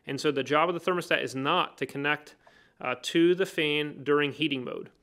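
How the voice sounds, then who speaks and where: A man's voice: on the high side, moderate pace, a bit bright. A man teaching HVAC basics, explaining each step plainly and working through a hands-on bench demonstration. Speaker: HVAC trainer. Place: indoor workbench.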